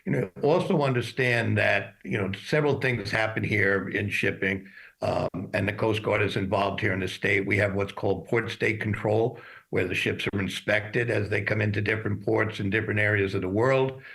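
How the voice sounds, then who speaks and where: A mature man giving unscripted expert commentary as a guest in a news interview, explaining in a halting, matter-of-fact way. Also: lowish voice, fairly even intonation, creaky, a bit bright. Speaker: shipping executive as expert guest.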